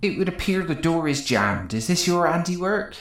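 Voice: medium pitch, big pitch swings, moderate pace, a bit bright, faint music behind. An actor playing Bob, a chirpy, oblivious male spaceship cleaner with stilted formality, in a sci-fi comedy audio drama. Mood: puzzled. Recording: roomy echo, some noise.

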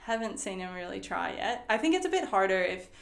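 A woman's voice: mid-range voice, big pitch swings, fairly quick, vocal fry. A young woman with an American accent, chatting casually and rambling with plenty of fillers and restarts. Her native language is Swedish. She is recorded in an echoey room. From Sweden and the United States. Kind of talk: casual chat.

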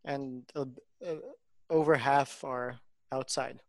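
A man hosting a podcast, chatting quickly and enthusiastically. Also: mid-range voice, steady tone, no echo. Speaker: podcast host. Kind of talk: casual chat.

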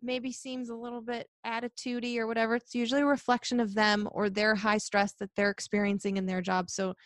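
Young woman, high-pitched voice, energetic and chatty, quick laughs, dry close sound. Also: no room sound. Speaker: sports reporter. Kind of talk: interview answers, casual.

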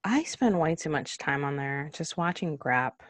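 A woman's voice: sits low, lively intonation, a little slow, dry recording, studio quality. A young woman co-hosting a podcast, chatting casually, laughing often and trailing off with ums. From Cuba. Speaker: podcast co-host.

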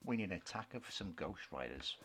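A man's voice: low voice, lively intonation, a little fast, a bit bright, slightly rough. A middle-aged British man co-hosting a casual radio show, rambling and bantering as he chats about what he likes. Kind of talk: casual chat about films and books. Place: home studio in a book-lined study.

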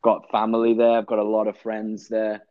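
Young guy, scouse-mix, lowish voice, stops and restarts a lot, kinda muffled. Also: dry recording. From England. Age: young adult. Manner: relaxed, halting, repeats words, dry jokes. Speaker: guest actor. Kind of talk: casual interview chat.

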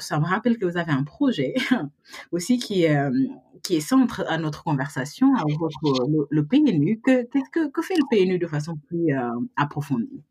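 Husky, higher-voiced man hosting a podcast. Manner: agreeable, hesitant, many euh. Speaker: podcast host. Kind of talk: casual podcast interview.

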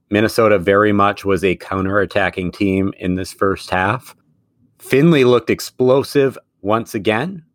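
Man, low voice, dry close sound, chatty, laughs a lot, casual sports podcast co-host. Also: dry recording. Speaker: middle school teacher.

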